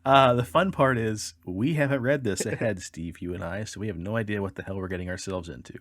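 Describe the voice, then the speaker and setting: A man's voice: on the low side, brisk, a bit bright, dry recording, studio quality. A man co-hosting a casual comedy podcast, chatting in a profane, jokey, suggestive way. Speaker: podcast co-host.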